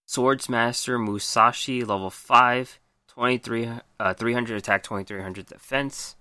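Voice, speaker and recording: A man hosting a podcast, reviewing game cards in a casual way with a hoarse, worn voice, reading out stats and then commenting with frequent ums. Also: mid-range voice, steady tone, unhurried, sharp tone, no room sound, clean studio sound. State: losing his voice. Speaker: podcast host.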